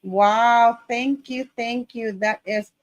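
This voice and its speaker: A woman co-hosts a live online show in a fairly high voice, greeting everyone brightly and more than once. The sound is dry and close, with no room echo. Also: fairly even intonation, takes it slowly, highs cut off. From Philippines. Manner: upbeat, repeated greetings. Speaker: co-host.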